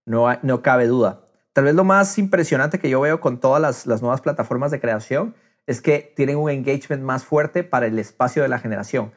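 A man's voice: mid-range voice, fairly quick. A middle-aged man in casual podcast conversation, animatedly acting out quotes and dropping English phrases into his talk. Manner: mixes English phrases into speech.

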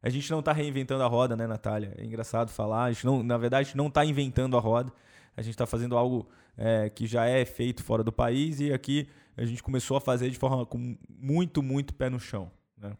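Gravelly-voiced male interview host. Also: medium pitch, steady tone, fast, darkish tone. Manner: prompting, summarizing, hesitant. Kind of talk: casual interview.

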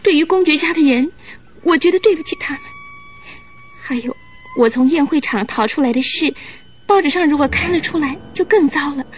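High-voiced distraught young bride played by an actress. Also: female voice, fairly quick, pauses often, faint music behind, natural voice. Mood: tearful, anxious. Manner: pleading, emotional. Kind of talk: Chinese radio drama acting.

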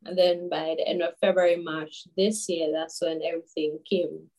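A woman in her twenties from Grenada, a guest telling stories in a casual interview, chatty and animated with many fillers. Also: fairly low pitch, normal speed, low-quality recording. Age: 20s. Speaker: guest, children's author.

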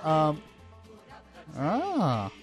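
Lively, laughing male radio host. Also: on the high side, extremely slow, music in the background. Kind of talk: casual morning radio chat. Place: radio studio. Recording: dry studio mic.

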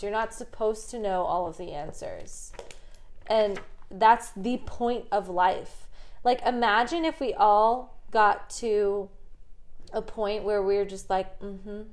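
A woman in her twenties co-hosting a podcast, talking in a chatty, animated way and swearing casually. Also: medium pitch, slow. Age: 20s. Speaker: podcast co-host. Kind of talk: casual chat.

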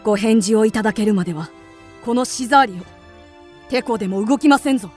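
A woman's voice: a little high, quick pace, darkish tone, faint music behind, natural voice. A woman acting in a radio drama as an earnest, steadfast young male envoy, speaking formally and with firm deference. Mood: earnest, resolute.

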